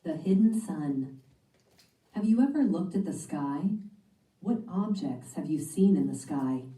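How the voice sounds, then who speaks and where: Woman narrator for a children's read-aloud, low dark mellow voice, roomy distant mic. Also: lively intonation, unhurried.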